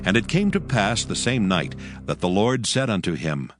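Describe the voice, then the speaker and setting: A man narrating scripture from a script in a measured, formal storytelling manner. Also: lowish voice, very animated, over music.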